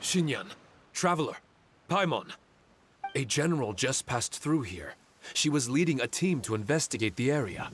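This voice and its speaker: A man voicing an earnest, forgetful male guardian spirit with a deliberate manner in an anime game. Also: mid-range voice, sing-song, noisy background, lightly acted. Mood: puzzled.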